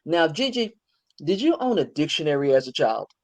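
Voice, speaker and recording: A woman's voice: sits low, very animated, moderate pace. Enthusiastic older woman hosting an interview. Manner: enthusiastic, conversational. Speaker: host.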